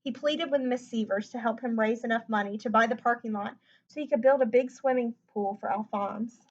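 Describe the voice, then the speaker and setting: A high-pitched woman reads a children's story aloud with animated expression. She slips easily into chatty asides to her listeners. The recording is a little dull and lo-fi. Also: brisk. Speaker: teacher reading a picture book aloud.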